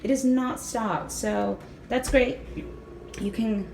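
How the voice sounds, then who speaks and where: Hoarse young woman touring a hotel room on her phone, super excited, lots of ums, a bit echoey. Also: fairly high pitch, takes it slowly, noisy background. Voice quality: raspy. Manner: bubbly, excited, chatty with fillers. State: slightly hoarse, lost voice. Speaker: travel vlogger. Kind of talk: casual hotel room tour. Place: hotel room and ground-floor patio. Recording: roomy echo, faint ambience.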